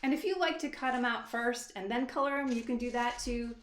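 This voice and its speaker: A woman's voice: on the high side, fairly quick. A woman giving a casual, encouraging, unscripted step-by-step craft tutorial for children. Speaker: children's author leading a craft demonstration. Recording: roomy echo, faint background noise.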